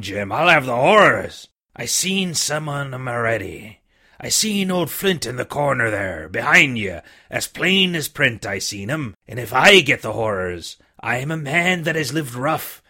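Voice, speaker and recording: A man voicing a sick old sea captain who pleads and blusters in rough sailor's talk with a weak, feeble voice. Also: medium pitch, bright, forceful tone, full character voice.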